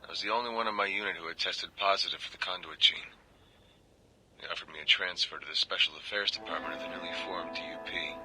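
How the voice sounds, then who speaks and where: An actor playing a grim, guilt-ridden military agent who confides in a video game audio log, grave and weary. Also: male voice, sits low, fairly even intonation, fast talker, bright, forceful tone, faint music behind, poor audio. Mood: bitter reflection. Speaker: soldier turned government agent.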